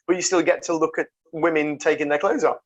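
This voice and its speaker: A man hosting a casual podcast over a video call, jokey and quick to laugh, calling his guest mate. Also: a little high, fairly even intonation, highs cut off, crowd noise. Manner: jokey, laughs often, calls people mate. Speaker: podcast host.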